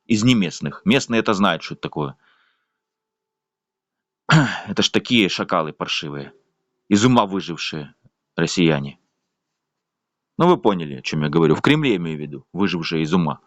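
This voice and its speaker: A man's voice: lowish voice, fairly bright tone, dry recording. A man with a voice, complaining at length in a casual, rambling video monologue full of fillers. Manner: indignant, rambling, with frequent fillers. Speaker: vlogger.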